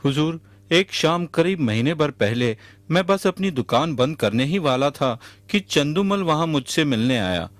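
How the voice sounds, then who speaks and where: A man's voice: a little high, expressive, unhurried, few pauses. A man playing an earnest, good-natured butter merchant in a Hindi cartoon. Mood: earnest, pleading. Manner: earnest, respectful.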